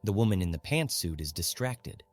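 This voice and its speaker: A man's voice: sits low, very animated, moderate pace, no room sound, faint music behind. A man narrating a literary audiobook in a scripted, dramatic storytelling style.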